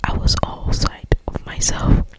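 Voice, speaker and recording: A woman reading a story for ASMR in a breathy, intimate, drawn-out whisper. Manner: slow, intimate, drawn-out delivery. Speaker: ASMR reader.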